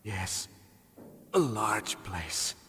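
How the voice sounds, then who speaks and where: An actor playing an eerie, dying psychic villain in a video game, speaking in slow, hushed, whispery tones. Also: male voice, on the low side, expressive, heavily acted. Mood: menacing. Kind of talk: video game cutscene acting. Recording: reverberant game audio with faint noise.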